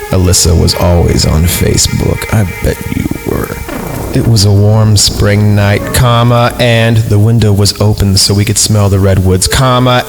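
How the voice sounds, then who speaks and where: Male podcast host, lowish voice, mock-serious dramatic reader with wry asides, music bed underneath. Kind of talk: dramatic story reading with joking asides. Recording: music playing behind the voice. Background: background music.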